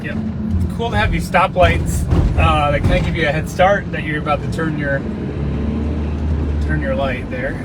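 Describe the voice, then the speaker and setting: A man's voice: a little high, moderate pace, pauses often. A man chatting casually on a live stream while driving a car, joking and rambling with lots of fillers. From United States. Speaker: storm chaser vlogger. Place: inside a moving car. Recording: distant mic, loud road noise.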